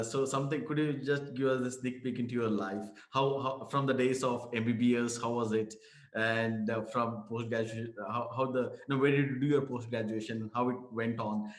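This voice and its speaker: A man hosting a conversational interview, phrasing things in a hesitant, roundabout way. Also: medium pitch. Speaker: interviewer, host. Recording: echoey room sound.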